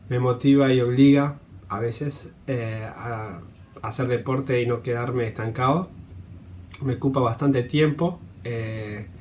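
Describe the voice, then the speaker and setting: A man giving a halting personal testimonial, searching for his words. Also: medium pitch, fairly even intonation, darkish tone, a little hiss or hum. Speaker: patient sharing personal experience.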